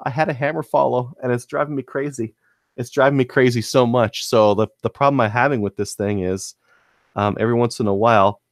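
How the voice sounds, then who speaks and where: A man's voice: medium pitch, no room sound, studio quality. A man talking casually as a podcast co-host, explaining things in stop-start phrases. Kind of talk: casual gun-hobby chat.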